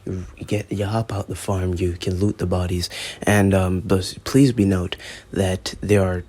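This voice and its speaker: A man's voice: deep voice, level tone, measured pace, dark tone, slightly rough. A teenage boy reviewing a mobile shooter as a YouTuber, rambling and joking, with plenty of profanity. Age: teens. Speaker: gaming YouTuber. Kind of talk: gameplay review commentary.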